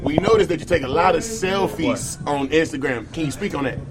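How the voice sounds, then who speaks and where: A man's voice: fairly high pitch, brisk, loud music behind the voice. A man hosting a casual group interview, teasing his guests and repeating phrases for effect. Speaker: interview host. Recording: loud crowd chatter behind the voice.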